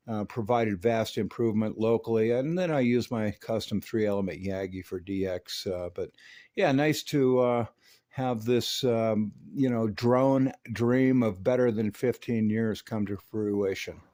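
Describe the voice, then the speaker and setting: A man's voice: on the low side, unhurried, warm tone, no room sound, clean studio sound. A man hosting a hobby video, explaining a hands-on job step by step in a folksy way. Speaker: host of a radio hobby channel. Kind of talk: hands-on how-to demonstration and narration.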